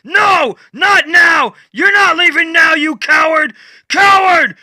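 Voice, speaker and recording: A man voicing an enraged masked vigilante who yells in a high, strained voice. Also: slow pace, dry recording, exaggerated voice. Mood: furious. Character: furious masked vigilante, shouting.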